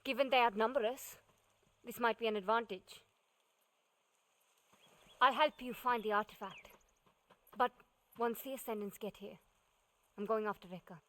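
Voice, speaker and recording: An actress playing a determined, sardonic heroine in a video game, speaking in terse, dry replies. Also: female voice, on the high side, steady tone, normal speed, long pauses, fairly bright tone, dry recording, close to an everyday voice. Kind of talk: video game cutscene acting.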